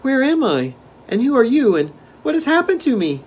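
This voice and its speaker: A man's voice: very high-pitched, huge pitch swings, moderate pace, slightly rough, voice pushed up, full character voice. A man voicing a proud, bewildered little boy prince in an indignant, wide-eyed storybook style.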